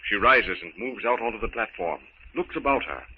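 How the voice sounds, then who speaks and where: A man's voice: fairly low pitch, expressive, a little fast, close to an everyday voice. A man narrating a jungle adventure serial in an earnest, dramatic 1930s radio style on a muffled, hissy recording. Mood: earnest, suspenseful.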